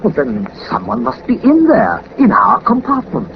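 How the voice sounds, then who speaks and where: A man's voice: high voice, wildly swooping pitch, moderate pace, creaky, heavily acted. An actor playing a fussy, loyal, easily astonished male sidekick, prim and pompous in 1940s radio style. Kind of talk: 1940s radio drama acting. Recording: muffled old radio recording, faint hiss.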